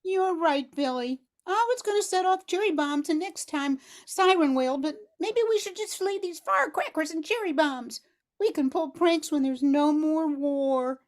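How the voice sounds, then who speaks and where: An older woman voicing a mischievous young boy in an amateur radio play, eager yet deliberate. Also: high-pitched, close to an everyday voice. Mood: chastened. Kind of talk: amateur old-time radio play acting.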